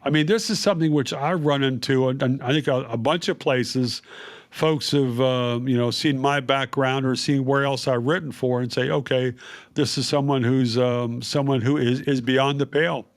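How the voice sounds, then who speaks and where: A man appearing as a writer guest on a podcast, speaking in a wry, halting way with many fillers. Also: medium pitch, fairly even intonation. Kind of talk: casual conversational interview.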